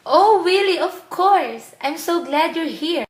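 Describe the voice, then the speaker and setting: A woman's voice: very high-pitched, lively intonation, takes it slowly, background noise, full character voice. An actress playing an eager, naive, headstrong young woman in love, in a radio play. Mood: bright, delighted.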